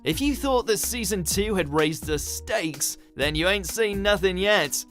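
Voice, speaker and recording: A man's voice: high-pitched, lively intonation, unhurried, over loud music. A man reading scripted narration as the narrator of a ranked countdown video.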